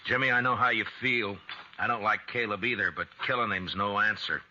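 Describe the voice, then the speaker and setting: Low, hard-edged frontier marshal. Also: male voice, bright, forceful tone. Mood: firm, grave. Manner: firm, measured, fatherly. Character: steady frontier marshal, stern but compassionate. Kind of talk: 1950s radio western acting. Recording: muffled, hissy 1950s radio recording.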